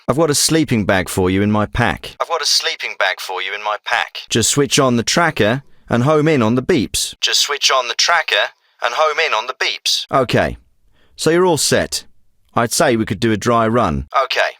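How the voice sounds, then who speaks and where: A man's voice: mid-range voice, expressive, normal speed, sharp tone, no room sound, clean studio sound. A man voicing a businesslike military intelligence handler in a video game, giving mission briefings with clipped, purposeful delivery. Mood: instructive.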